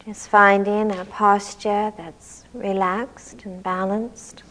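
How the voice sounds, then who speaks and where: A woman leading a guided meditation as a teacher, speaking gently and calmly in unhurried phrases with long pauses. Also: mid-range voice, low-quality recording.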